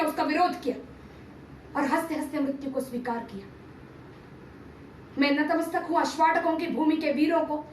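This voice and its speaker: A woman performing a theatrical monologue as a stern, patriotic male teacher-statesman of ancient India, solemn and declamatory in formal diction. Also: high-pitched. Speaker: amateur performer reciting a TV serial monologue. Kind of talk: theatrical dramatic monologue, oratory. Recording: roomy, distant mic, faint background noise.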